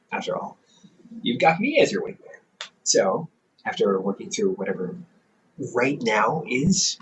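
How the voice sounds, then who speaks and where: Caring, stubborn big brother, played by a young man, audio roleplay: unhurried delivery, animated melody, softly teasing and hesitant. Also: medium pitch, a little hiss or hum, heavily acted, rough recording. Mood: teasing, reassuring. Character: caring, teasing older brother, around twenty, gently stubborn. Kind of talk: intimate audio roleplay, one-sided conversation.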